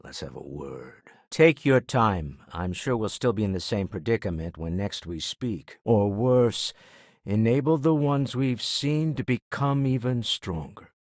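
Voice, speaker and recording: An actor playing a wealthy, urbane older businessman explorer in a video game, speaking in a refined, measured way with dry wit. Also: lowish voice, expressive, a little mellow, no room sound. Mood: composed. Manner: refined, measured, dryly witty phrasing. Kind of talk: video game character dialogue.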